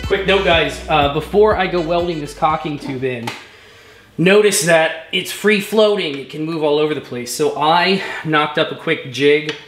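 A man's voice: fairly high pitch, moderate pace, echoey room, faint music behind. A man casually walking through a build at his workbench, explaining each step with plenty of fillers. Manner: relaxed and explanatory, lots of fillers. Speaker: gunsmith vlogger. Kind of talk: casual workshop tutorial. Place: workshop with workbench and vise.

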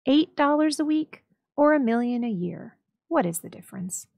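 A woman narrating a story dramatically, with expressive, measured storytelling. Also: high-pitched, gravelly, no room sound, studio quality. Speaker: library staff story reader.

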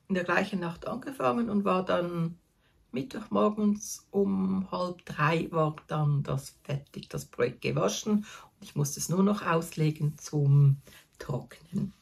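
A woman's voice: mid-range voice, creaky, breathy edge. A woman in her fifties with a Swiss German accent, chatting casually to camera from her small craft corner at home, chatty and self-deprecating and laughing at herself. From Switzerland. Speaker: knitting vlogger.

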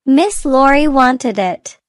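A woman voicing a sweet, dainty young girl in a scripted cartoon, with a deliberate, theatrical manner. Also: high voice, animated, dry recording, studio quality. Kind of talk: slow scripted English-learning cartoon dialogue.

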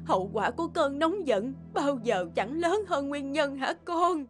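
A woman's voice: sits high, expressive, measured pace. An actress playing an anguished, pleading mother in a cartoon, speaking emotionally. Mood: admonishing. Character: anguished, pleading mother of a hot-tempered son. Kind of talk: cartoon dubbing. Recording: clear music under the voice.

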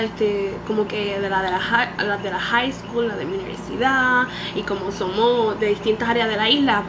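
A nineteen-year-old woman from Puerto Rico with a Puerto Rican accent, chatting animatedly to a webcam and dropping English words into her talk. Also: on the high side. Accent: Puerto Rican Spanish. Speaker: beauty vlogger. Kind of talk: casual chat to camera. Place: small room indoors. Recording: home webcam recording with background noise.

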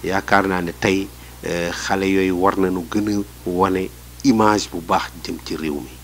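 A middle-aged Senegalese man answering a street interview indoors, measured and hesitant with many fillers. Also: low-pitched, fairly even intonation, a bit bright, slight background noise. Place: indoors in a small room.

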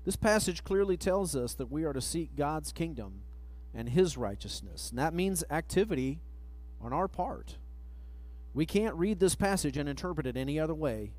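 A middle-aged man preaching a sermon at a church pulpit mic, reading scripture slowly and letting phrase ends trail off. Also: medium pitch, expressive, a little mellow. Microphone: pulpit microphone, far camera.

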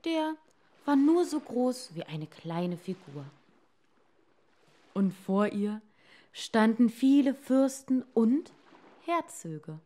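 Very slow, expressive woman storyteller. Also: on the high side. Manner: dramatic, deliberate, dropping to hushed tones. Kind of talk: expressive bedtime fairy-tale narration.

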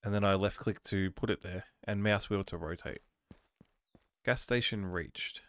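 A man with a cold casually commentating his own gameplay, reading on-screen prompts aloud and thinking out loud as he plays. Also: fairly low pitch, a little slow, no echo, clean studio sound. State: has a cold. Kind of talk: casual let's-play commentary.

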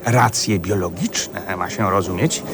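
A man's voice: low voice, slow pace. An actor playing a grandiose great writer in a radio play, theatrical and lecturing in his delivery. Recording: street ambience with vehicle noise.

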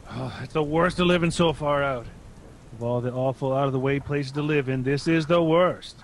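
A man's voice: medium pitch, normal speed, slight background noise, lightly acted. An actor playing a querulous, blustery older father in a radio drama. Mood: grumbling. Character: grumbling, excitable older father at home.

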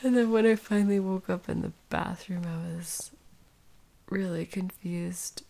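A woman playing a sleepy, sick, clingy girlfriend in an intimate audio roleplay, speaking softly and haltingly, often near a whisper. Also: fairly low pitch, fairly even intonation, vocal fry. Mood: sleepy, musing.

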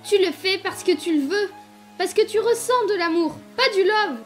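High-voiced earnest young boy, played by a woman. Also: normal speed, soft background music. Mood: earnest, insistent. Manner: earnest, soft-hearted, insistent. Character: gentle, stubborn, pleading young human boy. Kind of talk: dramatic comic fan-dub acting.

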